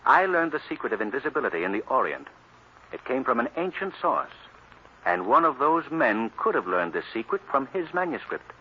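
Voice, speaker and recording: An actor playing a decisive, analytical gentleman sleuth in an old radio mystery, urbane and assured. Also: mid-range voice, very animated, fairly quick, slightly rough. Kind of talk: 1940s radio mystery acting. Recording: dull, noisy old radio recording.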